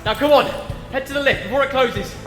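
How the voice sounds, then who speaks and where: A brisk sci-fi heroine played by a woman delivers dramatic dialogue in a very high voice. It rings with long reverb, and music plays behind her. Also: lightly acted. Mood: urgent, wry.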